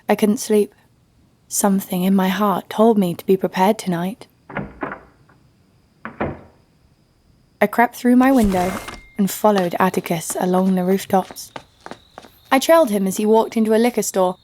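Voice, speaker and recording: A woman's voice: medium pitch, mellow, slight background noise, natural voice. A woman playing a sassy, snarky heroine who narrates an audio drama story over faint music. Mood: hushed recounting. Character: sassy, snarky female sidekick-owning storyteller, fond but teasing. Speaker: narrator and lead. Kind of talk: scripted first-person story narration.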